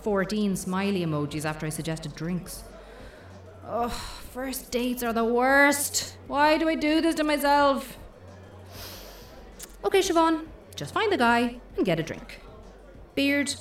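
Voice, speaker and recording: A woman acting in an audio comedy drama as the mischievous, mouthy inner voice of a young woman weary of dating, sardonic, exasperated and quick to swear. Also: a little high, expressive, echoey room, far from the mic. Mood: jaded, restless.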